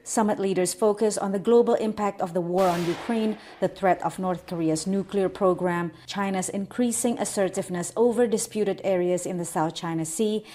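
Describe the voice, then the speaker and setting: A woman news anchor giving steady scripted narration. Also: mid-range voice, normal speed, few pauses.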